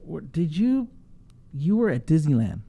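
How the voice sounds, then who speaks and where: Male host, dark mellow tone, halting questions, loose chat-show delivery. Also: a little high, big pitch swings, creaky, slightly rough. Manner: halting questions, many fillers. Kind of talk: casual chat.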